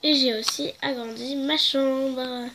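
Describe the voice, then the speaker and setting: A woman's voice: high voice, fairly even intonation, takes it slowly, pushed and bright, slight background noise. A young woman with a head cold, chatting hesitantly with lots of fillers while showing off her game. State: congested with a cold. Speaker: gaming video host. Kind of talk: casual game walkthrough.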